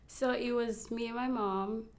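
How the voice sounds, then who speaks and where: A young woman from Rwanda, a model, speaking softly in a casual interview, laughing often and using many fillers. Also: a little high, fairly even intonation, measured pace. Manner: soft-spoken, laughs often, frequent fillers.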